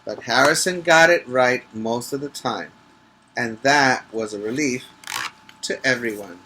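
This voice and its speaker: A man's voice: medium pitch, measured pace, sharp tone, a little hiss or hum, low-quality recording. A male teacher reading a picture book aloud to preschoolers, adding instructive asides and short questions for the young listeners.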